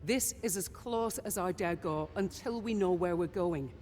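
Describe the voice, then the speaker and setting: A man's voice: high voice, moderate pace, a little mellow, lightly acted. An actor playing a cautious male jump ship pilot in a video game cutscene. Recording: long hall-like reverb.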